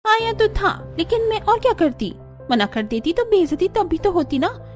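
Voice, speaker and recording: A woman voicing a spoiled, defiant young daughter-in-law in a cartoon story, whiny and quick to talk back. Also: very high voice, big pitch swings, soft background music, voice pushed up. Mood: sulky, defiant. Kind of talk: Hindi cartoon story voice acting.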